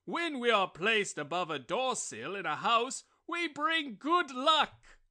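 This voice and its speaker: A man reading a storybook aloud voices a boastful, self-important male wishbone who lectures the other kitchen objects in a loud, grand way. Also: very high-pitched, big pitch swings, slow, exaggerated voice. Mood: pompous.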